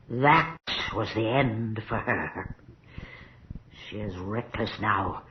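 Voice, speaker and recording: Elderly devoted servant woman, unhurried, fearful and foreboding; noisy old radio horror recording. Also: very deep voice. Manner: fearful, pleading, solemn. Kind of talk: radio horror drama acting. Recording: dull, hissy vintage radio recording.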